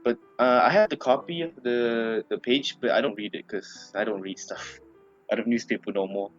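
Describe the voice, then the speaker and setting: A man's voice: medium pitch, normal speed, muffled recording, faint music behind. A young man with a Malaysian English accent, co-hosting a casual podcast chat and laughing often. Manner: giggly, laughs often between lines. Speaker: podcast co-host.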